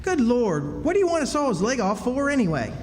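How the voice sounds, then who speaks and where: A man's voice: huge pitch swings, brisk, exaggerated voice. A man playing a skeptical but loyal country boy in a radio play, folksy and exclamatory. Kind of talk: stage radio drama acting. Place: live stage in a hall. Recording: reverberant hall, rumbling noise.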